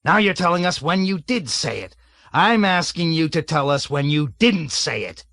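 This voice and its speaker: A man voicing a bloated, blustering army colonel who roars and hectors in a raised, loud voice. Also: mid-range voice, sing-song, measured pace, dry recording. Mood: bellowing, bullying. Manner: roaring, hectoring, sarcastic. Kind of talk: audiobook character voice.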